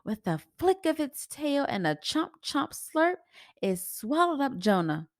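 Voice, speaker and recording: A woman reads a story for children in an expressive, sing-song way. Her pitch moves in lively swings and her pace is unhurried. The sound is close and dry. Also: no echo. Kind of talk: read-aloud storytelling for children.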